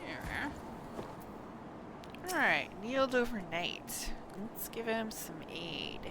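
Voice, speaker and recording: A woman's voice: fairly high pitch, animated, slow, very noisy. A woman live-streaming a game, making casual offhand running remarks to herself as she plays. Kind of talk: live game stream, reading dialogue aloud and reacting casually.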